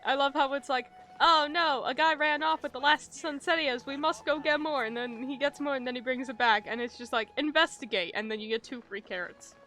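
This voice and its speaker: A woman's voice: sits high, fairly quick, few pauses, bright, forceful tone, faint music behind. A young adult woman giving casual livestream game commentary, jokey, affectionate and slangy with some swearing. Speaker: streamer.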